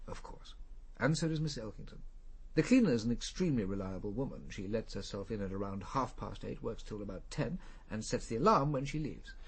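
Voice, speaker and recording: English male barrister, formal, guarded, precise, lowish husky voice, radio drama. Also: animated, fairly quick. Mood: measured, helpful. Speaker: actor. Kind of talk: British radio crime drama acting.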